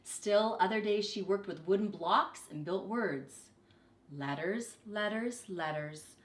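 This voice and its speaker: Expressive woman reading aloud, echoey room. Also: mid-range voice, takes it slowly. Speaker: storybook reader, teacher. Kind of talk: expressive read-aloud for children.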